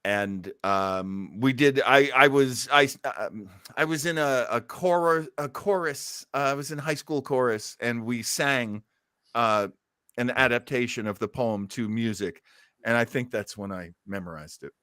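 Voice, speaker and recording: A man's voice: mid-range voice, unhurried, pushed and bright, clear, resonant tone, dry recording, clean studio sound. A man hosting a podcast in casual, jokey chat. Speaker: podcast host.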